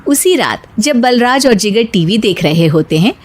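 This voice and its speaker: A woman storyteller giving scripted narration for a comic animated family story. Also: medium pitch, moderate pace.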